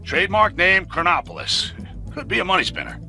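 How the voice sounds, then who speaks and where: A man's voice: high voice, wildly swooping pitch, fairly quick, gravelly, sharp tone, soft background music, exaggerated voice. A man playing a blustering, sardonic, grey-haired newsman on a live broadcast in a video game. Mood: brash, sardonic. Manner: brash, sardonic. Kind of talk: newscast parody in video game cutscene.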